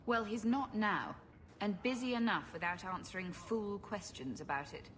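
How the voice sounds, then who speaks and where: A woman's voice: mid-range voice, normal speed, natural voice. Woman playing a stern, blunt refugee woman. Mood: curt, wary. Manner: stern, curt. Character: proud, blunt, protective refugee woman of the poor. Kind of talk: video game voice acting.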